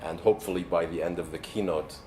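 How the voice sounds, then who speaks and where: A man in his forties from Egypt, a native Arabic speaker, delivers a motivational keynote as a coach in an earnest, measured, deliberate way. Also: sits low, steady tone, dark tone, soft background music. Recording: background noise, ambient sound.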